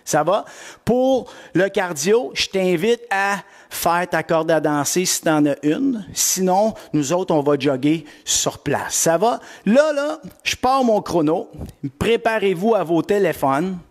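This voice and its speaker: A man's voice: high-pitched, animated, slow pace. A middle-aged man with a Québécois accent, coaching a live-streamed workout class and greeting viewers by name with lots of energy. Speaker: fitness coach.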